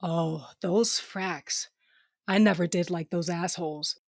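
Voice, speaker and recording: A woman's voice: on the low side, steady tone, slow pace, creaky, dry recording, studio quality, exaggerated voice. A woman playing a blunt, sardonic female starship captain who narrates her own science fiction audio drama in slangy language. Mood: blunt, determined. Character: tough, sardonic, foul-mouthed female ship captain with a cybernetic eye. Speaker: narrator and lead character. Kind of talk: first-person audio drama narration and dialogue.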